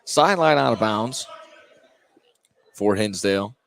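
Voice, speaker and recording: A man's voice: fairly low pitch, animated, unhurried, fairly bright tone. Man calling basketball over gym noise. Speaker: play-by-play announcer. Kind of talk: live basketball radio commentary. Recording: clear gym ambience, bouncing basketballs. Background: basketball game in a gym.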